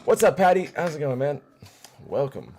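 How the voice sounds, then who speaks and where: A young man with a hoarse, fading voice chatting casually while streaming a game, greeting viewers and filling pauses with ums and likes. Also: a little high, expressive, dark tone. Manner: loose and chatty, many fillers, greets viewers. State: hoarse, losing his voice. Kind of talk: casual gaming livestream chat.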